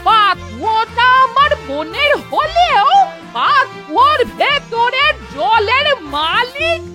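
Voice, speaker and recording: A woman plays a cunning old cartoon crone who squeals and scolds, her voice very high and swooping up and down. She speaks slowly and stretches her words. Music plays loudly beneath her throughout. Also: big pitch swings, heavily acted. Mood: pleading. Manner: shrill, scolding, wheedling. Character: scheming old woman, elder sister. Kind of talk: cartoon voice acting. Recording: music under voice.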